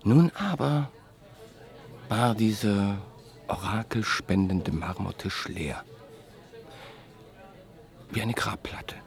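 Low gravelly guy narrating a radio play, slow and husky, dry close sound, drops to whispers. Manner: measured and reflective, sinking to near whispers.

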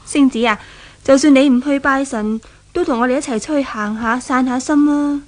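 High, coaxing woman companion played by an actress. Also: normal speed, natural voice. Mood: coaxing, reassuring. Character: kindly, coaxing female companion, reassuring. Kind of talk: 1970s radio drama acting.

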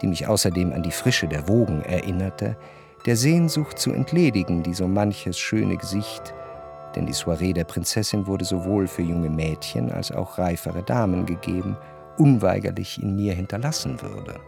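An actor playing a reflective society narrator in a literary radio drama, with a measured, introspective way of talking. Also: male voice, low voice, gravelly, over music. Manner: measured, elaborate, introspective phrasing. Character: reflective male narrator recalling high-society soirées.

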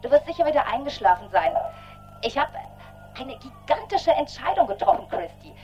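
An actress playing an impulsive, excitable woman leaving phone messages in a radio drama. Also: sits high, normal speed, soft background music. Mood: breathlessly resolute. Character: impulsive, excitable woman confiding in her best friend.

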